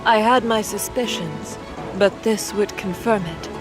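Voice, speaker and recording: A woman's voice: mid-range voice, music in the background, lightly acted. Woman playing an elegant, cold dragon warrior. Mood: cold, probing. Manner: elegant, composed, measured. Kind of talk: audio drama acting.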